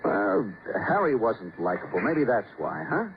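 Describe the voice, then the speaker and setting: An actor playing a sardonic, needling war veteran in an old-time radio crime drama, speaking in short, taunting, punchy phrases. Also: male voice, lowish voice, big pitch swings, a little slow. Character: sardonic male war veteran, needling, slyly menacing tough. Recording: tinny, faintly noisy vintage radio recording.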